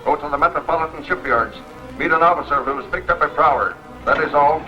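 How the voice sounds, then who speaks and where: An actor playing a clipped, official police radio dispatcher in a 1940s film serial. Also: male voice, a little high, fast. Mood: official, brisk. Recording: muffled radio call, old film soundtrack, music.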